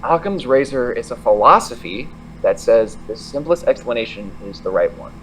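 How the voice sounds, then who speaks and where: A man's voice: medium pitch, expressive, moderate pace, a bit bright, a little hiss or hum, natural voice, low-quality recording. A man playing a level-headed, teasing audio-tinkering friend, matter-of-fact, in an audio drama. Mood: sceptical, teasing. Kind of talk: naturalistic audio drama dialogue.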